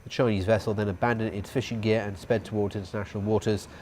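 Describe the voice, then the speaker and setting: A man reading the evening news as anchor, in a steady, formal delivery. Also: fairly low pitch, quick pace, slight background noise.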